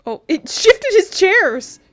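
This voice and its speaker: A woman's voice: very high-pitched, sing-song, takes it slowly, a bit bright. A woman hosting a casual game playthrough, playful, chatty and rambling. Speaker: gaming video host. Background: game music.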